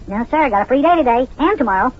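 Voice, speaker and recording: Small chipper boy for old-time radio comedy: very fast, raspy, chatty, on a dulled lo-fi recording. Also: high voice, expressive. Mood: eager, matter-of-fact. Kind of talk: old-time children's radio serial acting.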